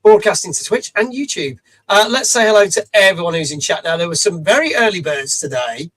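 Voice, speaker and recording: A middle-aged British man hosting a live stream from his home studio, his voice pitched noticeably high for a man and a touch rough. He chats loosely, greeting viewers one after another, with plenty of "uh"s between the names. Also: expressive, brisk, a bit bright. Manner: chatty, greets viewers by name, many fillers. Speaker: streamer host. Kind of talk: casual live-stream chat. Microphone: studio mic.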